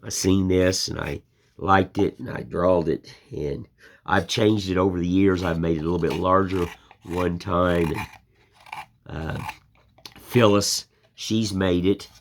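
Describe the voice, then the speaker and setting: A man's voice: sits low, steady tone, unhurried. An older American man with a folksy, plainspoken way of talking, rambling in a casual chat while he shows his woodcarving. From United States. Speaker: woodcarving channel host.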